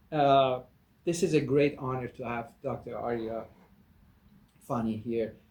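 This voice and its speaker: A man from Iran hosts a friendly interview. He speaks slowly and deliberately, with a fairly high voice, and his words ring with room echo. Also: darkish tone, slight background noise. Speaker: podcast host. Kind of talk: interview chat.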